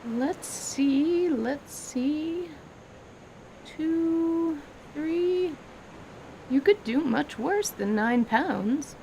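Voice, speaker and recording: A woman voicing a kindly elderly grandmother, gentle and measured, doting but a little stern, in an audio drama. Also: high-pitched, animated, a little hiss or hum, pitched above the performer's own voice, full character voice, rough recording. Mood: encouraging.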